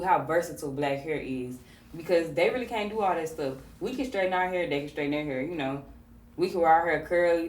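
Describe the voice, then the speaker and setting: A woman hosting a podcast, talking in a relaxed, conversational way with plenty of fillers. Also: sits low, reverberant, distant mic. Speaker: podcast host. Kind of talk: casual conversation.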